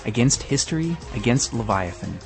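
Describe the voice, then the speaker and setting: A man's voice: mid-range voice, expressive, normal speed, music in the background. A man reading scripted narration as a podcast co-host.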